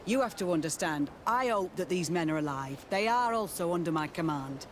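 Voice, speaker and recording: A man's voice: fairly high pitch, expressive, brisk, slight background noise, natural voice. A man playing a weary, embittered military captain in a video game cutscene, blunt and sardonic, loyal to his soldiers. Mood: bitter, exasperated.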